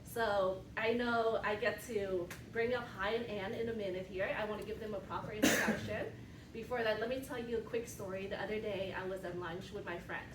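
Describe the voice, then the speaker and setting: A woman gives a lively motivational talk to her team, often tossing in a quick "right?" to her listeners. She sounds distant from the mic in an echoey room. Steady background noise runs underneath. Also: mid-range voice. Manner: animated, often asks "right?". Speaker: team leader. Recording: echoey room from far off, noisy.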